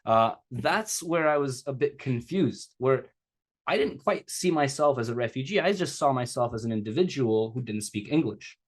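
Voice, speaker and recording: A man's voice: medium pitch, expressive, normal speed. Earnest man speaking over a video call. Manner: casual, earnest, conversational with a few laughs. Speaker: secondary teacher and speaker. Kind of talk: personal talk to an audience. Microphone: remote video call.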